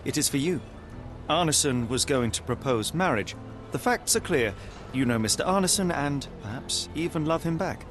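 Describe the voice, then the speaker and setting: Actor as a composed, precise British gentleman detective. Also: on the high side, expressive, soft background music, close to an everyday voice. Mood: cool, insistent. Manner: measured, formal, precise. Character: composed, analytical young gentleman detective, coolly persuasive. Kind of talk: video game voice acting.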